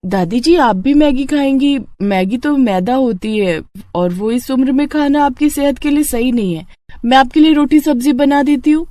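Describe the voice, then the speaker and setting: A woman voicing a young daughter-in-law, polite but exasperated underneath, in an animated family story. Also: high-pitched, moderate pace, dry recording, pitched above the performer's own voice, natural voice. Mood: polite, concerned. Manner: polite aloud, grumbling inwardly.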